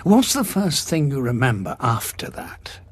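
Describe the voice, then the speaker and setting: An actor playing an indignant, morally troubled war veteran with a sardonic, emphatic manner in an audio drama. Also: male voice, medium pitch, big pitch swings, unhurried. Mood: probing. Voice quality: husky, gravelly. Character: indignant, morally troubled war-weary Doctor, adult male.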